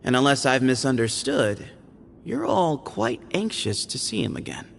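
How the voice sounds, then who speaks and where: A man voicing a sly, playful young man in a video game, teasing and evasive, with a relaxed manner. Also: mid-range voice, moderate pace, creaky, slight background noise. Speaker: game character.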